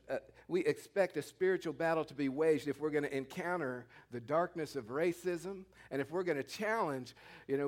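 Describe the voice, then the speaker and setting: A pastor from California delivering a sermon, stressing key words by slowing down, with frequent restarts. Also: male voice, a little high.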